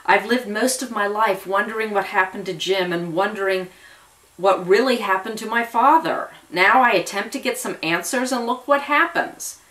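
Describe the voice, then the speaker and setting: A woman's voice: medium pitch, moderate pace, fairly bright tone, pitched above the performer's own voice, close to an everyday voice. A woman voicing a shaken but determined married woman in an audio story, earnest and insistent as she wants answers. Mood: stern, insistent. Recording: voice distant from the mic.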